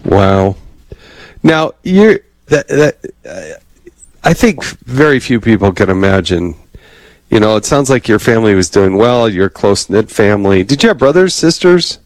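A man's voice: on the low side, animated, moderate pace, no echo, low-quality recording. A male interview host, chatty and enthusiastic, with a wry humor. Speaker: podcast host.

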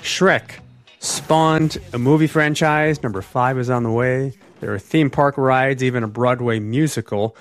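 A man's voice: medium pitch, measured pace, faint music behind. A middle-aged man hosting a conversational radio interview. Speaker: radio host.

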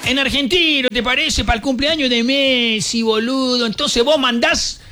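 A man's voice: extremely high pitch, normal speed, pushed and bright, soft background music. A Colombian man hosting a morning radio show with casual, playful banter, sometimes putting on an Argentine accent.

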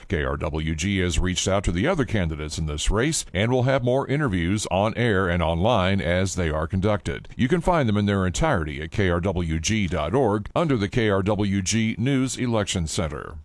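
A male local news reporter reading a scripted newscast in a steady, measured way. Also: deep voice, no echo.

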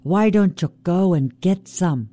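A woman voicing a loud, scheming male trickster raven who yells taunts and insults. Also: on the low side, lively intonation, measured pace, dry recording. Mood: wheedling. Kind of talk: traditional oral storytelling.

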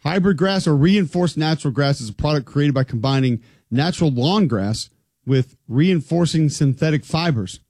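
A man's voice: a little high, lively intonation, normal speed, a little mellow, no echo, clean studio sound. A man co-hosting a casual sports talk show, joking wryly and reading facts aloud. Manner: wry, joking, reads stats aloud.

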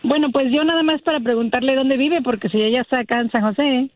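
A woman's voice: high-pitched, fast talker, dry recording. A woman calling in to a radio show, speaking earnestly and agreeably. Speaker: caller. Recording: muffled phone-in audio.

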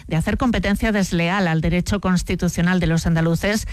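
A woman's voice: lowish voice, fairly even intonation, a little fast, darkish tone, no room sound. A woman with a Spanish accent from Spain presenting a scripted radio news bulletin.

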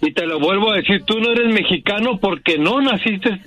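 A man's voice: sits high, normal speed, bright, forceful tone, dry recording, soft background music. A man who is a regular on a radio show, calling in and arguing bluntly and stubbornly. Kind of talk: call-in banter on radio.

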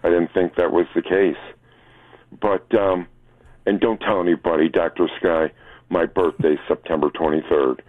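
Low-voiced older man on phone line. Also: fairly even intonation, moderate pace, no room sound. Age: 60s. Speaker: regular phone-in caller. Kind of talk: casual chat with hesitations. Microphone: telephone. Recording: tinny narrow phone line.

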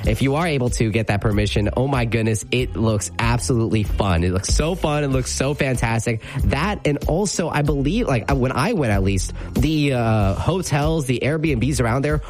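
A man's voice: medium pitch, expressive, moderate pace, few pauses, darkish tone, faint music behind. A young man from California hosting a morning radio show, chatty and rambling, often repeating words. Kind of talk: casual morning radio talk.

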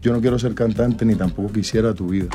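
A man's voice: on the low side, steady tone, fast talker, warm tone, faint music behind. A Colombian man in his twenties, a singer talking casually in an interview, rambling with slang and restarts. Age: 20s.

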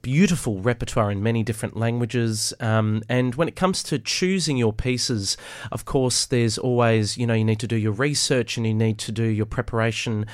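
A man's voice: medium pitch, moderate pace, hardly any pauses, dry recording. Polite man interviewing, dry sound. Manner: frequent ums, polite and encouraging. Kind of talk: hesitant interview questions.

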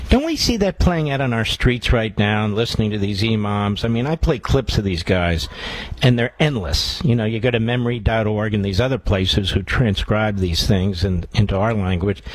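A man's voice: lowish voice, rough recording. A man hosting a radio interview, steering the talk with leading questions. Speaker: radio host.

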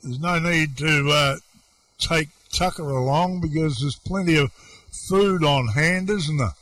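A male radio host with a slightly high voice speaks very slowly. He is chatty and folksy, pausing as he works toward each question in a casual interview. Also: a bit bright, no echo.

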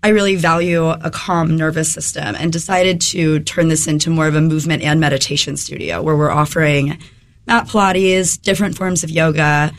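A woman interview guest, a little hoarse from a head cold, explaining things conversationally. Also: deep voice, fairly even intonation, moderate pace. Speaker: interview guest, wellness business owner.

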